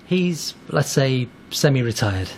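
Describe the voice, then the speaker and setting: An actor playing an easygoing son who runs the family firm, casual and a little hesitant, in naturalistic radio drama. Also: male voice, mid-range voice, very animated, takes it slowly, slight background noise. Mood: friendly, relaxed. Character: easygoing thirty-year-old son running the family firm.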